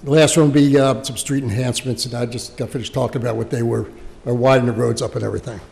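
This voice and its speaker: American guy, gravelly voice, explaining stuff at a town board meeting, stumbles and repeats himself, big echoey room. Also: medium pitch, steady tone, a little mellow. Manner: halting, repeats words and phrases. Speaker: local government official presenting a project to a township board. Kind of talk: public meeting presentation, unscripted explanation. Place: indoor township meeting room. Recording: reverberant meeting hall.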